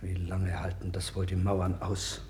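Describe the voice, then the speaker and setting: A man's voice: low-pitched, monotone, a little slow, a little mellow. An actor playing a troubled, wavering king of ancient Judah in a formal 1960s radio play style. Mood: uneasy. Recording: worn vinyl record with clear noise.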